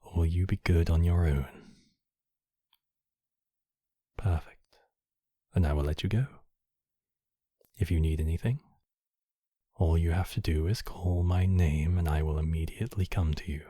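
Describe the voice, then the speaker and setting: Demon king for intimate ASMR roleplay male actor: cavernously low, husky breathy voice, possessive and courtly, threatening to others yet gently sheltering, measured delivery. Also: clean studio sound. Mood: courteous, inviting. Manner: formal, courtly, measured phrasing with soft breathy drops. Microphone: close microphone, dry.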